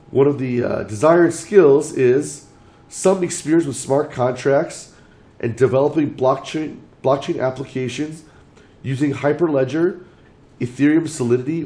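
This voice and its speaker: A man's voice: mid-range voice, warm tone. A man explaining his market outlook as a channel host, talking through points in order while losing his voice from illness. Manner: measured, lays out points in order.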